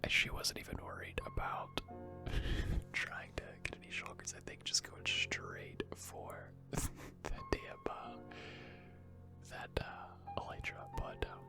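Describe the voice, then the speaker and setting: A video host whispers gaming commentary for ASMR, with no real voicing, just breath. The talk wanders and hesitates, close to the mic. Music plays clearly underneath. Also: takes it slowly. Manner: rambling, hesitant.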